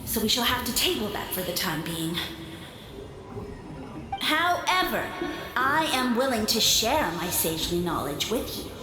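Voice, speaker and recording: A woman's voice: fairly high pitch, very animated, unhurried, long pauses, roomy sound, music in the background, low-quality recording. A woman voicing a pompous, self-proclaimed sage lord of fungi who talks down to everyone with grand, condescending speech. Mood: haughty.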